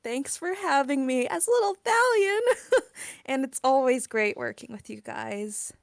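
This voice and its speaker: A woman's voice: sits high, very animated, unhurried, vocal fry, no room sound, clean studio sound. A woman in a casual, giggly chat, talking in a bubbly, playful way. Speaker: voice actor.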